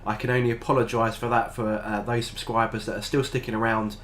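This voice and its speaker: A man's voice: medium pitch, little pitch movement, fast, fairly bright tone, slight background noise. An older British man with a stuffy, nasal head cold, casually talking through a game book review, rambling with lots of ums and uhs. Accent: British English. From Britain. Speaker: tabletop gaming channel host. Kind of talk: casual review talk to camera.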